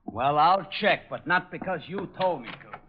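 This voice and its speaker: An actor playing a put-upon, dryly sarcastic police lieutenant in an old-time radio detective drama. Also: male voice, a little high, animated, normal speed, close to an everyday voice. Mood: exasperated, sarcastic. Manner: dry, grudging. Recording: muffled old radio recording, faint noise.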